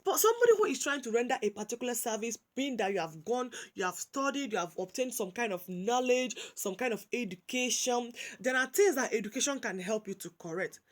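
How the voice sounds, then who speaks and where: A Nigerian woman with a high voice, giving animated casual commentary that slides between English and Pidgin, with frequent restarts and repeated words. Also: brisk, hardly any pauses. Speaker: commentary vlogger.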